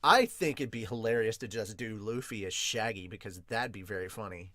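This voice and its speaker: A man hosting a podcast with casual, rambling review commentary, excitable and sweary. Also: on the low side, brisk, dry recording, clean studio sound. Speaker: podcast host and manga reviewer.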